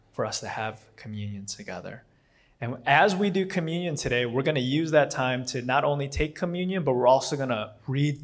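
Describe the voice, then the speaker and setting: A man, not a native English speaker, preaching a casual sermon in a rambling way, often stopping to correct himself. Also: mid-range voice. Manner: rambling, self-correcting, with frequent fillers and restarts.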